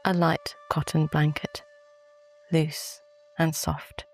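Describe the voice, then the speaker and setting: A woman with a British accent gently narrates a guided sleep meditation in a soft, calm, soothing voice. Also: low-pitched, takes it slowly, gravelly, soft background music.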